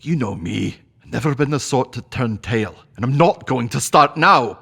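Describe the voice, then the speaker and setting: Man playing husky-voiced, down-to-earth working man. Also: medium pitch, animated, moderate pace, fairly bright tone, full character voice. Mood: troubled. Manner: informal, down-to-earth. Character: worried, plain-spoken loyal working man, household employee. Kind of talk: British sci-fi audio drama acting.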